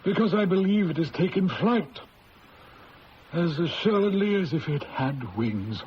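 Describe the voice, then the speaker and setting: An actor playing a shrewd, unhurried police inspector in an old-time radio drama, measured and probing with a dry manner. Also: male voice, high voice, animated. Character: shrewd, unhurried male police inspector in colonial South Africa. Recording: dull lo-fi radio recording, faint hiss.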